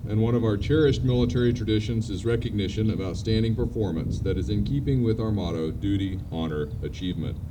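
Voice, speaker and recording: A man presenting the honorees at a ceremony in a formal, deliberate way. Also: fairly low pitch. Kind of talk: formal announcement. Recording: distant outdoor mic, noisy.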